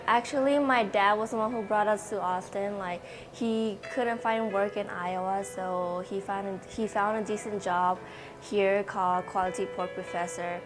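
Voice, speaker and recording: A woman's voice: mid-range voice, fairly even intonation, moderate pace. A young woman from Thailand, telling her family's story plainly. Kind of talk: personal interview testimony. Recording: music bed under the voice.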